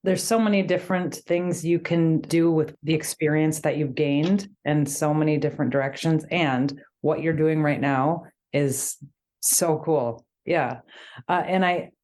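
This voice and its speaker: A woman hosts a podcast interview, asking long, encouraging questions with thoughtful pauses. Her voice is low for a woman. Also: steady tone, darkish tone. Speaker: podcast host.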